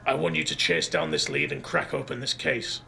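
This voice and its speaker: A man playing a gruff, impatient marshal in a cyberpunk audio drama, giving curt, demanding orders. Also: low-pitched, big pitch swings, brisk, bright, forceful tone, a little hiss or hum, exaggerated voice. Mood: stern, impatient.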